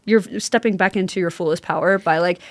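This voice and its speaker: A woman's voice: fairly low pitch, fast talker, no room sound. A woman hosting a podcast, interviewing in a casual, conversational and encouraging way. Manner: supportive, encouraging. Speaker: podcast host.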